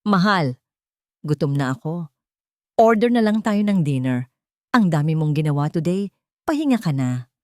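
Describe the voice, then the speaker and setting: A woman's voice: low voice, sing-song, moderate pace, long pauses, darkish tone, no echo, studio quality. Woman reading as a breezy, oblivious husband. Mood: contented, affectionate.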